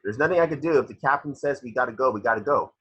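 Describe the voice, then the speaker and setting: A man acts out an unyielding male ship's mate. The voice is rough-edged and forceful at a medium pitch, heard through dull online audio. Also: racing. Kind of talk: table-read acting.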